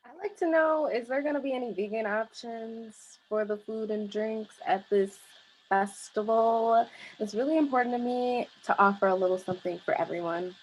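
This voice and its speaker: Woman acting as a sincere caring office girl, highish voice, bit of room noise behind. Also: moderate pace, close to an everyday voice, rough recording. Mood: earnest. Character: earnest, caring female vegan-minded coworker. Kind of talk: comedy web series acting.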